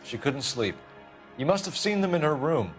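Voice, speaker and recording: A man's voice: fairly high pitch, big pitch swings, normal speed, faint music behind. A man playing a stern 1940s police detective, questioning a witness formally and probing for answers in a video game scene.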